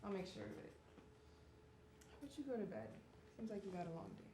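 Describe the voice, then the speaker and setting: Gentle, reassuring stage mother, played by a woman; soft, motherly delivery, mid pitch, captured in a roomy space. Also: wildly swooping pitch, fast. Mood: gentle, sombre. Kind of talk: amateur stage one-act play acting. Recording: roomy, noisy stage recording.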